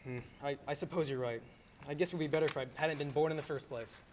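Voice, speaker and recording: Casting: young actor as despairing, skeptical everyman for radio drama, mid-pitched, halting, faint background noise. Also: a little mellow, lightly acted. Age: teens to young adult. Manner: halting, incredulous. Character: troubled, skeptical, wry family man in despair. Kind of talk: stage radio play acting.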